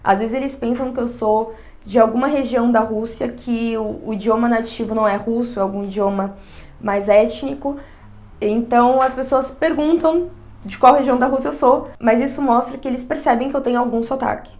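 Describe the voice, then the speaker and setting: Young brazilian woman w/ a são paulo accent, kinda high voice, chatty and frank, vlogging to camera. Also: fairly even intonation, a little fast, a little mellow. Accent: São Paulo Portuguese. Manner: chatty, frank, emphatic asides. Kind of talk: casual direct-to-camera vlog.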